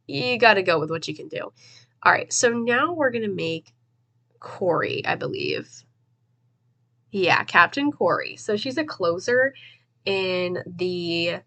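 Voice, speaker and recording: A young woman's voice, stuffed up and a bit nasal from congestion. She rambles in a relaxed, chatty way over gameplay, drifting between thoughts with fillers and the odd laugh. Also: lowish voice, gravelly. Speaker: gaming video host. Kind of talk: casual voice-over gameplay commentary.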